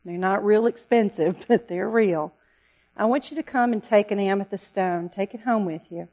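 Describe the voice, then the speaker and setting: A woman's voice: mid-range voice, darkish tone, muffled recording. Earnest woman missionary pleading with a church. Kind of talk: heartfelt church talk. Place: church service.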